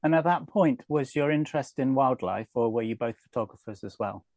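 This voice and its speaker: A man's voice: medium pitch, animated, fairly quick, a little mellow, dry recording. A man hosting a podcast, asking short, straightforward questions. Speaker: podcast host. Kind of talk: podcast interviewing.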